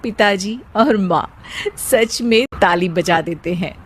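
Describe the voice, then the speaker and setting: A woman's voice: mid-range voice, slow pace, husky. A woman reading scripted narration for a story as its narrator.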